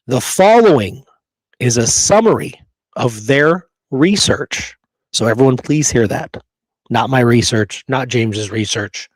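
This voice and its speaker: Male host, mid-pitched, emphatic presenter of historical research, dry studio-style sound. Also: expressive, measured pace, no echo, rough recording. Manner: emphatic, checks in with rhetorical right and okay. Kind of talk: presenting research to listeners, partly reading prepared notes.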